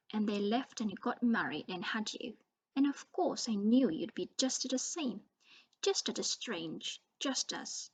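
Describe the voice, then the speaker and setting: A woman's voice: a little high, slightly rough, dry recording, low-quality recording. A woman voicing a bitter middle-aged aunt who shrieks and rants spitefully.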